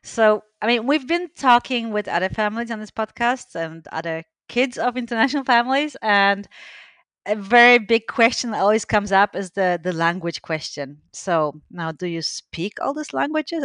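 A woman's voice: mid-range voice, lively intonation, normal speed, fairly bright tone. Chatty woman podcast co-host. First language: not English. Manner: friendly, chatty, laughs easily. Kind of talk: casual interview hosting.

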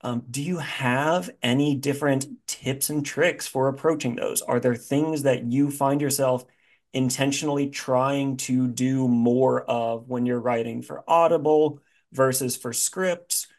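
A man's voice: mid-range voice, steady tone, unhurried, darkish tone. A man hosting a podcast, chatting casually and effusively in an informal interview style. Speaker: podcast host.

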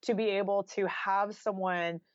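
A woman's voice: mid-range voice, steady tone, measured pace, no room sound. A woman speaks as an author being interviewed, casual yet thoughtful. Her talk is conversational and sprinkled with filler phrases like "you know". The recording is dry and somewhat dull. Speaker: interview guest, nonfiction author.

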